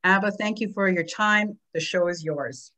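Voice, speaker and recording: A woman hosts a business network meeting and reads the guest's biography from her notes. Her voice sits at an ordinary middle pitch, and she pauses to correct a slip and make a friendly remark. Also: bright, forceful tone. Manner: reads a formal bio, correcting a slip and adding a friendly aside. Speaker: host introducing a guest. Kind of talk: reading a speaker introduction.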